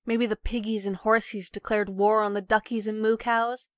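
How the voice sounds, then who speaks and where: A woman's voice: medium pitch, little pitch movement, moderate pace, no echo, clean studio sound, close to an everyday voice. A woman as a sarcastic teasing sister. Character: sarcastic mocking younger sister.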